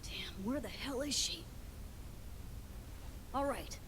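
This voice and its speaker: A woman playing a brisk, blunt, exasperated take-charge character in a video game. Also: fairly high pitch, lively intonation, background noise. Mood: exasperated, resolute. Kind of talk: video game cutscene acting.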